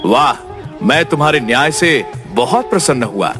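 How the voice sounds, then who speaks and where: A man's voice: mid-range voice, expressive, normal speed, music in the background, close to an everyday voice. A man playing an authoritative, fair-minded king in an audio folk tale, with a stately, declamatory manner. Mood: approving.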